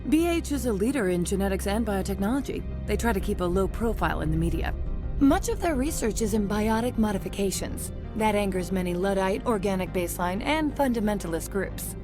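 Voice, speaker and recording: A woman's voice: mid-range voice, expressive, fairly quick, music in the background, lightly acted. A woman playing an efficient corporate receptionist in a video game, polite and informative. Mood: polite, professional.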